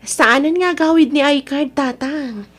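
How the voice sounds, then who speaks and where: A woman's voice: high-pitched, unhurried, fairly bright tone. A woman acting in an Ilocano radio drama as a lovestruck, stubborn adult daughter and single mother, with an Ilocano accent. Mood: pleading, earnest.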